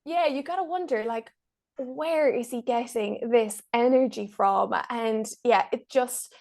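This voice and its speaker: A woman's voice: a little high, a little slow, creaky, a little mellow. A woman, chatting casually as a podcast guest. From Ireland, born in Mexico. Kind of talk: casual interview chat.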